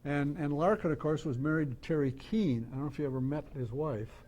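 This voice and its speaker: A man's voice: fairly high pitch, a little fast, a little mellow, rough recording. A man moderating a club talk, giving knowledgeable, detailed radio history off the cuff. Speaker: moderator, old-time radio expert. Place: club meeting room.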